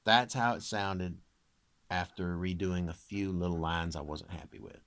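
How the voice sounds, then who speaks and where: A man with a deep voice chatting casually to his viewers in an informal, wry, self-deprecating way. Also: steady tone, measured pace, a bit bright, dry recording. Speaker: amateur singer and video creator presenting own recording.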